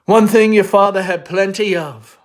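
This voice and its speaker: A man's voice: sits high, steady tone, normal speed, lightly acted. A man voicing a domineering, anxious middle-aged Southern mother in an emphatic, theatrical stage play reading. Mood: insistent scolding.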